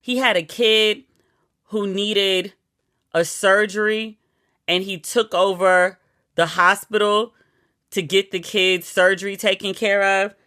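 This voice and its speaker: A woman's voice: mid-range voice, fairly even intonation, takes it slowly, sharp tone, dry recording, studio quality. A woman hosting a podcast, chatting casually and playfully as she reads out quotes and news items. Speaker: podcast host. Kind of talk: casual chat.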